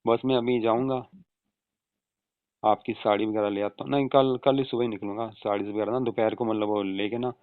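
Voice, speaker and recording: A man playing an easygoing adult son reassuring his mother in an informal, chatty phone conversation, with a creaky voice on a tinny call recording. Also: fairly low pitch, fairly even intonation, normal speed, dry recording, lightly acted. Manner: informal, chatty, often seeking agreement with tag questions. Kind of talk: staged casual phone conversation in Hindi.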